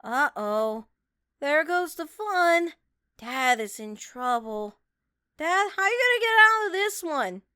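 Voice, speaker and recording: A tiny, squeaky-high little girl in an anime audio drama. She is bubbly and childish, bright in tone and recorded dry and close. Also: big pitch swings, takes it slowly, dry recording, full character voice. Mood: excited, scheming. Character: excitable chatty little girl, adoring daughter pleading for playtime. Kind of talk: anime audio roleplay acting.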